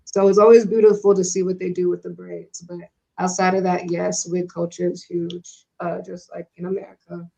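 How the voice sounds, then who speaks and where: A woman's voice: fairly low pitch, flat delivery, moderate pace, creaky, few pauses, dark tone. A woman from Nigeria, talking casually on a discussion panel, chatty and full of asides. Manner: chatty, uses many fillers and asides. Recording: dull lo-fi sound.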